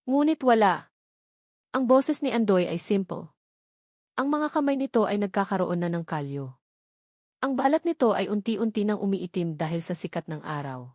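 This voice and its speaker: Female storyteller, scripted dramatic narration, mid-pitched voice, very dry close recording. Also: a little mellow, no echo.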